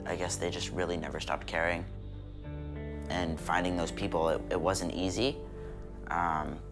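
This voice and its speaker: Casual young man with low, flat voice. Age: 20s. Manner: casual, upbeat, says "like" often. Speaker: youth center worker. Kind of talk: documentary interview. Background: background music.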